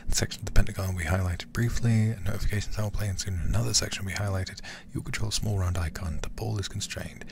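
A man streaming a game in ASMR style, reading aloud and commenting in a hushed, intimate way close to the microphone. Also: sits low, background noise. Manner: hushed, slow and intimate delivery with breathy whispers.

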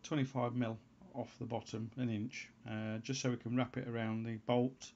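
A man giving an unscripted how-to voice-over as a hobbyist presenter, in a halting way, as if thinking aloud. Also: mid-range voice, little pitch movement, a little mellow, slight background noise. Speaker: hobbyist presenter of a homebrew antenna tutorial. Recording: dull, lo-fi sound.

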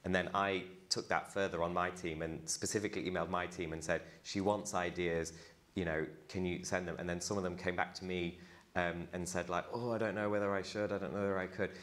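A man in his thirties with a low voice talks as a panelist. He speaks hesitantly with many fillers, picked up on a lapel mic in a university hall. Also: steady tone, a little fast, fairly bright tone. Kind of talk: panel discussion.

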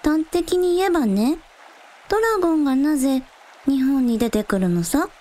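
A woman's voice: sits high, lively intonation, moderate pace, dark tone. A woman playing a casual, blunt young village idol in a radio drama, with breezy, slangy, matter-of-fact delivery. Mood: curious, casual. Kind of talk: Japanese radio drama acting.

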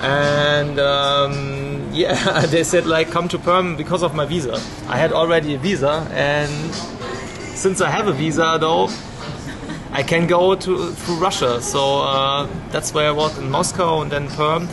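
Thirties German vlogger, rambling chat on a handheld camera, music playing around him. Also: male voice, a little high, fairly even intonation, unhurried. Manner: rambling, many restarts and fillers. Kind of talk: casual chat. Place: busy pub, indoors. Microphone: action camera held at arm's length. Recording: loud background music. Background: pub music.

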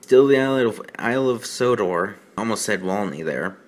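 A man's voice: lowish voice, lively intonation, moderate pace. A man hosting a reading show with sarcastic, rambling commentary in an exasperated, self-deprecating manner. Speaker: host of a bad fan fiction reading show.

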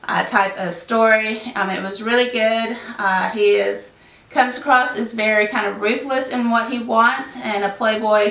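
A woman in her thirties talks through her month of reading while fighting a sinus cold. Her voice is gravelly with a bright edge, and she wanders and corrects herself as she goes. The sound is roomy, picked up from a camera a little way off. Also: medium pitch. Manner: rambling, many ums, self-correcting. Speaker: book vlogger. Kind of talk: casual book wrap-up chat. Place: home room with bookshelves behind. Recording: roomy echo, mic a bit distant.

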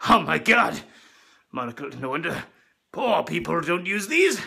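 A man's voice: a little high, sing-song, a little slow, gravelly, pushed and bright, pitched below the performer's own voice, heavily acted. A man playing a pompous, crude rich Englishman with a mustache and monocle, in a comedy sketch video.

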